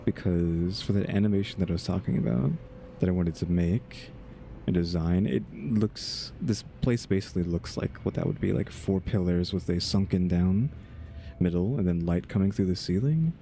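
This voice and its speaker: Man, deep voice, soft dark tone, casual gaming commentary, rambling, thinking aloud, faint background noise. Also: normal speed, vocal fry. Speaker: gaming video host. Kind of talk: casual game playthrough commentary.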